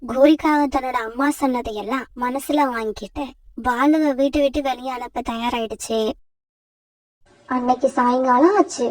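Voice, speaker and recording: A woman tells a children's cartoon story in a plain, even way. Her voice sits high and sounds dry and slightly distant from the mic. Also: normal speed, no room sound. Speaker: narrator. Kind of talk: storybook narration.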